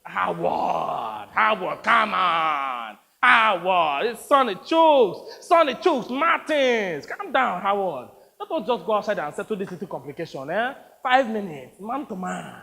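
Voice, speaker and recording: A man's voice: high-pitched, big pitch swings, measured pace, creaky, sharp tone, in a large hall, heavily acted. A man voicing a jittery male manager who coaxes and soothes with nervous laughter. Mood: coaxing.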